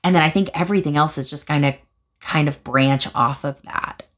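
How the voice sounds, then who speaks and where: Lower-voiced young woman vlogging from her couch. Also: normal speed. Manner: animated, gestures with hands while explaining; thoughtful with frequent fillers and restarts. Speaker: video essayist. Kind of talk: casual commentary vlog. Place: living room, seated on a couch indoors.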